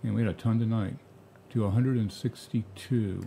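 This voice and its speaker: A man co-hosting a live painting stream, running the timer and giveaway in a relaxed, joking way. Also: lowish voice, moderate pace, vocal fry, a little hiss or hum. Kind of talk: casual live-stream chat.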